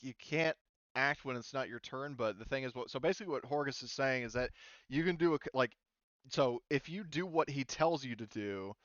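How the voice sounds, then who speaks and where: A man's voice: mid-range voice, fairly bright tone, dry recording, lo-fi sound. A man acting as game master in a casual online tabletop session, explaining rules informally. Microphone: voice call over the internet.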